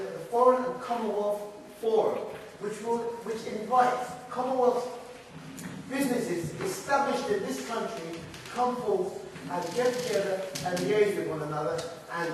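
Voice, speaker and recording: A man with a notably high voice gives an animated campaign speech at a public meeting, breaking into laughing asides. He is heard from a distance in a big, echoing hall with a long reverb. There is a faint hiss under the voice. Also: moderate pace. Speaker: party leadership candidate. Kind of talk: hustings speech. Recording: echoey hall from far off.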